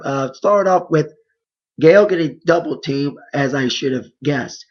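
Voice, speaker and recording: Hoarse male reviewer, a bit high-voiced, loose rambling delivery, muffled lo-fi mic. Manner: rambling play-by-play with restarts. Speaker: wrestling reviewer. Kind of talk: casual solo recap.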